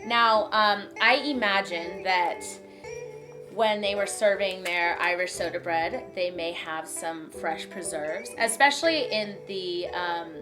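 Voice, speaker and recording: A woman's voice: lowish voice, takes it slowly, music in the background. A young woman, a guest on a casual food chat, bubbly and laughing as she explains the food.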